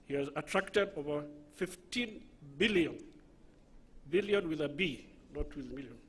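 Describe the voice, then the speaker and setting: Somali-accented male emcee, husky, a bit high, reads a long formal citation with dry asides. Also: animated, a bit bright. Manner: measured, with asides to the audience. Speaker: ceremony emcee reading a citation. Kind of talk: formal ceremonial introduction. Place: large graduation ceremony venue.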